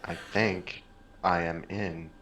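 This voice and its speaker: A man's voice: low voice, fairly even intonation, slow, a bit bright, slight background noise, poor audio. A man from the northern United States, joking and grumbling with friends before a tabletop game. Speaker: player.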